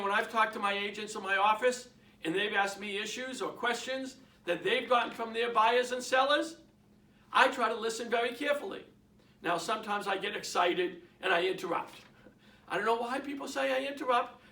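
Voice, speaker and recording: A man's voice: sits high, bright, forceful tone, far from the mic. A man with a Boston accent giving an emphatic motivational sales talk to realtors, repeating his points and asking the audience questions. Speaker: real estate sales trainer. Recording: roomy, from off the mic.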